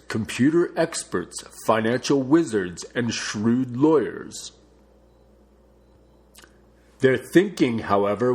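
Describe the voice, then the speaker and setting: Slow, measured man reading aloud. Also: medium pitch. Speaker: audiobook reader. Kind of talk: scripted non-fiction book narration.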